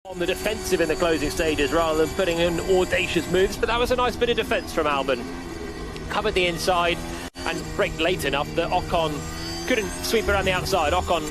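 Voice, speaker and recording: A man working as a motor racing co-commentator, giving analytical commentary on live race action. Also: sits high.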